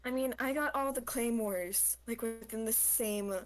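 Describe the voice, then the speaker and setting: A woman's voice: a little high, measured pace. A woman guest on a game stream, chatting casually and somewhat hesitantly. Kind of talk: casual chat about gaming.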